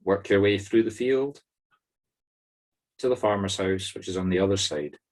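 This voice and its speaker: A Scottish man with a low voice leads a guided meditation. He gives short, soothing instructions and leaves pauses between them. The sound is that of a video call. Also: a little mellow. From Scotland. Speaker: spiritual medium leading a meditation group. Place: online video-call circle.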